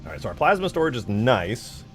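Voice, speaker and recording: A man's voice: mid-range voice, big pitch swings, brisk, fairly bright tone. A man giving casual live stream commentary as he works on a game mod, thinking aloud in an informal, self-effacing way. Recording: music playing behind the voice. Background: music.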